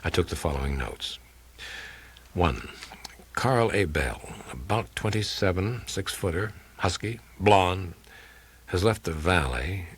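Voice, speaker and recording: A man reading a crime audiobook as a world-weary, blunt male investigator who tells his case in a terse, dry, deliberate way. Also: low-pitched, expressive, slightly rough, exaggerated voice. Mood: clipped, dry. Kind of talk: dramatic first-person audiobook reading of hardboiled crime fiction.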